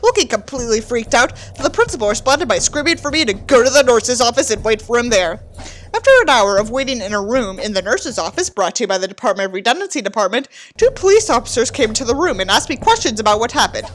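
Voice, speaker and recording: A woman's voice: a little high, sing-song, fast talker, a little hiss or hum. A woman giving a mock-dramatic reading of a book with theatrical, sarcastic delivery and candid asides. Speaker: host reading a book aloud with commentary.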